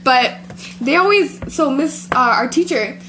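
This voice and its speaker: A woman's voice: high-pitched, lively intonation, unhurried, background noise. A teenage girl hosting a homemade podcast, rambling through a school story with lots of giggling. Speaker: podcast host. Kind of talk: casual chat.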